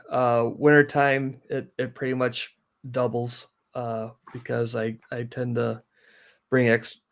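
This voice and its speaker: A man, explaining things in a practical, low-key way as a guest in a casual interview. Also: clean tone. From northern Wisconsin, United States. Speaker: guest, outdoor amateur radio operator. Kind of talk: casual live stream interview.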